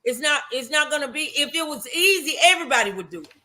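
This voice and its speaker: A woman's voice: high-pitched, lively intonation, fast talker, sharp tone. A woman hosting a casual morning show, speaking in an emphatic, motivational and folksy, familiar way. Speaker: talk show host.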